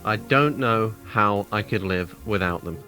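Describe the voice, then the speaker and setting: A man's voice: fairly low pitch, measured pace, sharp tone, soft background music. A man bantering casually and jokingly as a guest on a review video.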